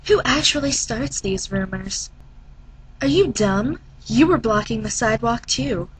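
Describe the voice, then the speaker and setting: A woman voicing a cool, aloof, sharp-tongued schoolgirl in a curt way for an anime fan dub audition. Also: a little high, expressive, measured pace, husky, voice pushed down, heavily acted, rough recording. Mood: irritated, curt. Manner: curt, dismissive.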